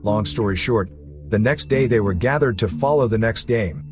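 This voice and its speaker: A man's voice: on the low side, normal speed, over music. A man reading scripted narration for a story recap video, as its narrator.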